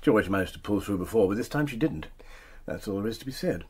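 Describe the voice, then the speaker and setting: An actor playing a blunt, offhand country doctor with a husky voice in a radio drama. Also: male voice, fairly low pitch, animated, quick pace. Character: brusque, jovially dismissive country doctor.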